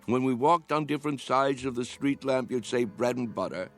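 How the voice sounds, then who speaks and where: Guy playing dreamy old man in radio drama, musing and fervent, middle pitch, bit of music behind. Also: moderate pace, natural voice. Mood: fond, nostalgic. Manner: earnest, musing, insistent.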